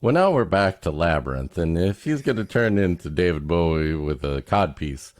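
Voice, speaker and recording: A man's voice: deep voice, animated, normal speed, no room sound. A man co-hosting a podcast, mixing story reading with wry, casual comic riffs. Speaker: podcast co-host.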